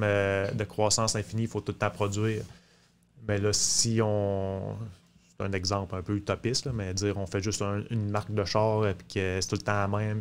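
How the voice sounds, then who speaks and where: Low, even-voiced Quebec man, halting. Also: a little hiss or hum. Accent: Quebec French. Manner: hesitant, halting. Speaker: podcast guest. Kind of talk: casual explanatory discussion. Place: small podcast studio, indoors. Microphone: close studio mic on boom arm.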